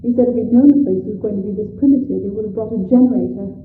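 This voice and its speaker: A woman's voice: medium pitch, fast, narrow, tinny audio, slight background noise, close to an everyday voice. A woman playing an anxious, well-bred young British bride-to-be in a video game, speaking in an articulate, earnest and slightly dramatic way. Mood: wry, chatty. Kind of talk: scripted video game voice acting.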